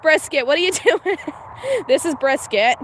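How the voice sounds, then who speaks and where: A woman's voice: sits high, expressive, moderate pace. A woman with a slight Pennsylvania accent, chatting casually and laughing as she livestreams chores with her cows outdoors. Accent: Pennsylvania, mixed American. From Pennsylvania, USA. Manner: chatty, laughs often, candid. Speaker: homestead livestreamer. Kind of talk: casual live commentary. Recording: faint outdoor noise.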